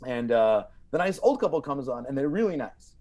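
An American man telling comic stories casually as a podcast guest, animated and prone to digressions. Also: mid-range voice, a little fast. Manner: animated, digressive, acts out quotes.

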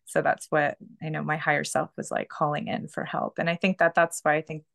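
A woman's voice: sits low, flat delivery, fairly quick, creaky, a bit bright, dry recording, clean studio sound. A woman in her thirties, a podcast guest talking casually and loosely, full of "like" and "you know.". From Canada. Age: 30s. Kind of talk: casual conversational storytelling.

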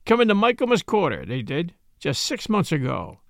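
A man's voice: sits high, wildly swooping pitch, moderate pace, vocal fry, no room sound, clean studio sound, heavily acted. A man voicing a sour, suspicious building porter in an audiobook story, curt and grunting, with few words.

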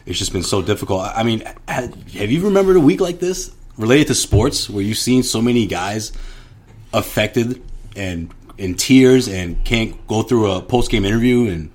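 A man's voice: on the low side, expressive, moderate pace, darkish tone. A man co-hosting a sports podcast in casual chat, often stumbling and repeating words as he talks. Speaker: podcast co-host.

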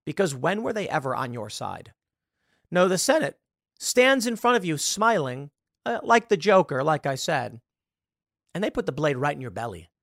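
Commentary host, thirties male, high expressive voice, dry studio sound, impassioned and mocking. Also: moderate pace, creaky, no room sound. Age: 30s. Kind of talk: live political commentary.

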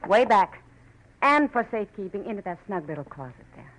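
An actress playing a cool, mysterious femme fatale in 1940s radio noir, clipped and dryly sarcastic. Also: female voice, mid-range voice, very animated, fairly quick, a bit bright. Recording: noisy old radio recording with clicks.

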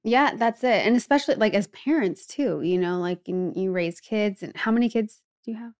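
A woman's voice: mid-range voice, fairly quick, a little mellow, dry recording, clean studio sound. A woman co-hosting a casual interview, chatty and affirming in the way she talks. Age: adult parent of a young child. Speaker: interview co-host.

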